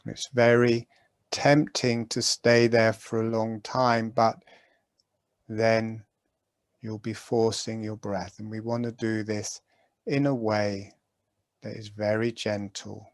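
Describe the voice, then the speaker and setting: A man guiding a breathing meditation, giving calm, gentle instructions with long pauses. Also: fairly low pitch, no room sound. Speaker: meditation guide.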